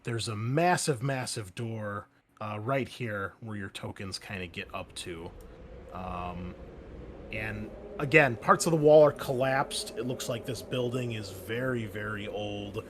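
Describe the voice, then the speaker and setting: A man's voice: lowish voice, unhurried, background noise. Laid-back man running a tabletop game. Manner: relaxed, explaining with many fillers and restarts. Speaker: game master.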